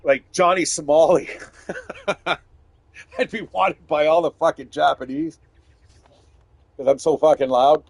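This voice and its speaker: Loud, swearing gamer man. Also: a little high, fairly even intonation, measured pace, gravelly, long pauses. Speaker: gaming streamer. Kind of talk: casual gameplay commentary.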